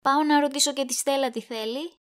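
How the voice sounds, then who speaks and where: A woman's voice: high voice, steady tone, close to an everyday voice. A woman playing a guilty, withdrawn girlfriend in an audio drama, hesitant and evasive. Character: guilty, withdrawn young girlfriend holding back a secret. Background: music.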